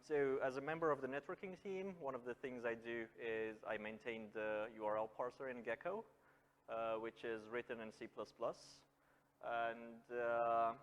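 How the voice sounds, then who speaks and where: Man, Romanian accent, mid-pitched voice, hesitant tech talk, long reverb of a hall. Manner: hesitant, frequent filled pauses. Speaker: conference speaker, software engineer. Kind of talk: informal technical presentation. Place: indoor meetup venue.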